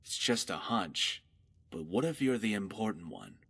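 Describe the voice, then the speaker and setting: A man's voice: fairly low pitch, huge pitch swings, normal speed, creaky, fairly bright tone, husky, no echo, exaggerated voice. A man voicing a sardonic but loyal pirate companion in a fantasy video game, with a dry delivery. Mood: wry, concerned. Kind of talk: scripted fantasy game dialogue.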